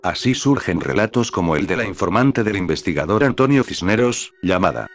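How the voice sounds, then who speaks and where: A man reading scripted documentary narration as the narrator, in a measured, serious manner. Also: deep voice, animated.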